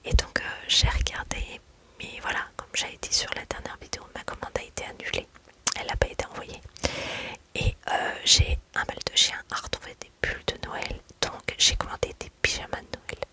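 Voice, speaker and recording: A woman, a mother, whispering softly and hesitantly in a relaxed ASMR chat, pausing often on fillers. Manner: soft, hesitant, many fillers. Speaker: video creator.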